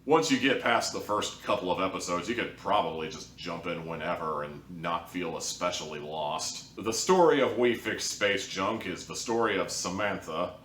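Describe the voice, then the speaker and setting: Low-voiced man, echoey and distant. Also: normal speed, few pauses, a bit bright, slight background noise. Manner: rambling, hedging, conversational. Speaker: audio drama reviewer. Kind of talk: casual review monologue. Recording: roomy echo, distant from the mic.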